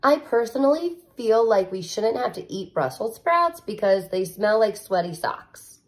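A woman in her thirties reading a children's picture book aloud like a teacher, expressive, with chatty asides straight to young listeners. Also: medium pitch, a little slow. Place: living room at home, indoors.

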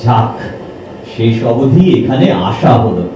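A man's voice: medium pitch, lively intonation, takes it slowly, dark tone. An actor playing an affectionate, teasing young man in an audio drama. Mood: mock-offended. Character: Rahul, affectionate, teasing, coaxing young male companion. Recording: echoey, distant, noisy ambience.

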